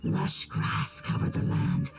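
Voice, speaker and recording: An actor voicing an ancient, gentle, sorrowful creature in a game cutscene, breathy and whispery, speaking in a solemn, formal storytelling way. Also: slow pace, close to an everyday voice. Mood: wistful. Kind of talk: video game cutscene acting. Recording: game audio with music underneath.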